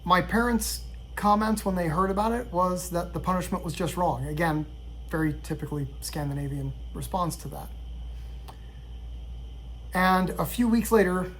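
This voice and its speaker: A middle-aged man from Sweden telling a personal story in a measured, reflective way. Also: sits high, slight background noise, poor audio. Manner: reflective, measured, with occasional restarts. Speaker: vlogger.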